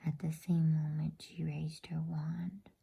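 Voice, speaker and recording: A woman narrates a bedtime story in an ASMR whisper. Her voice is very husky and breathy, with a mellow, relaxed tone and an unhurried pace. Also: low voice.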